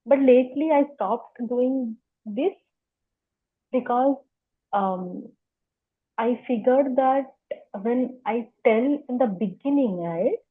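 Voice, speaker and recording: Slow, stammering woman on a tinny call. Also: a little high, breathy edge. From India. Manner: stammers, with repeated words and sounds. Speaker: interview guest. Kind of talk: conversational interview.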